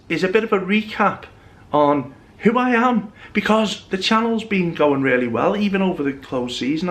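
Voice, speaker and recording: A young man talks to his camera in a loose, rambling vlog. He pokes fun at himself as he goes. His voice carries a trace of a recent bout of flu. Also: a little high. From England. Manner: chatty, self-mocking, rambling. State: recovering from flu. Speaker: vlogger. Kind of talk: casual vlog chat to camera.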